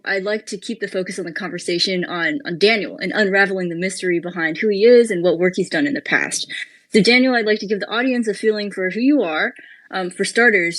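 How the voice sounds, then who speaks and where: A woman hosts a live audio interview in a plain, mid-pitched voice. She asks her questions in a friendly, easygoing way and laughs often as she goes. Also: a bit bright, rough recording. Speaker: interview host.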